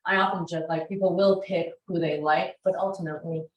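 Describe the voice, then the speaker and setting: A woman's voice: on the low side, a little fast, dull audio. Upbeat woman teaching in an echoey room. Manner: chatty and energetic, many fillers. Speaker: real estate class instructor. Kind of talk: teaching a class discussion. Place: classroom. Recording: roomy classroom echo.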